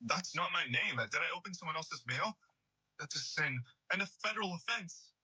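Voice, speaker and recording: Anxious young churchgoer, played by a man: very bright, forceful edge with a rasp, earnest, hesitant, audio drama. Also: a little high, lively intonation, moderate pace, heavily acted, poor audio. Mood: puzzled.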